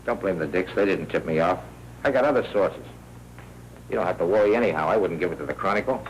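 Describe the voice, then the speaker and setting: An actor playing a glib, wisecracking American newsroom tipster in slangy 1940s film noir style. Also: male voice, sits low, quick pace, lightly acted. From United States. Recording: noisy, crackly 1940s film soundtrack.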